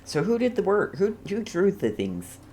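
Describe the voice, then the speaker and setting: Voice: mid-range voice, wildly swooping pitch, moderate pace, mellow. A streamer giving casual commentary on a game playthrough, musing aloud in a hesitant way.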